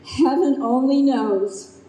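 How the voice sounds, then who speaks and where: A woman playing a tender young bride-to-be daughter in an old-time radio play, soft and sentimental. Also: high-pitched, slow, a little hiss or hum. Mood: tearful tenderness. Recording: echoey hall recording.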